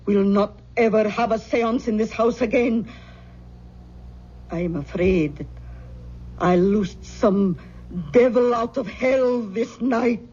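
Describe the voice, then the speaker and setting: An actress playing an earnest older Scottish aunt who holds seances, in an old radio horror drama. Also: female voice, on the high side, slow, long pauses, warm tone, slight background noise. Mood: remorseful. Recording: dull old radio, music behind.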